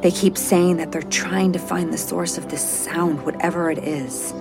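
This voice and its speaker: Female space-mission botanist, low voice, anxious and unsure of herself, audio drama over a prominent music score. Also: steady tone, normal speed, vocal fry. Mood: worried, explaining. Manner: hesitant, self-doubting. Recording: moody music score underneath.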